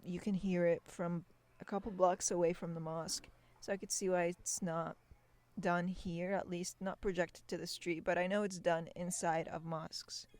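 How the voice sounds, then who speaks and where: A woman hosting a podcast, reading scripted narration in a calm, explanatory manner on a close mic. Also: lowish voice, moderate pace. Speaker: podcast host.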